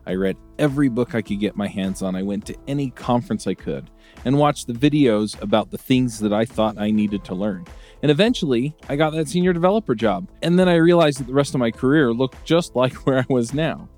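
A man reading a scripted ad in an upbeat, lively way. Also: a little fast. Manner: upbeat pitch, lively delivery. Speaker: advertiser. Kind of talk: scripted ad read. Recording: light music underneath.

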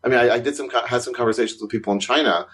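A man co-hosting a podcast in casual conversation, animated and quick to laugh, his voice climbing when something surprises him. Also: husky. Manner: animated, rising pitch on surprising points, laughs while talking. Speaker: podcast co-host, consultant.

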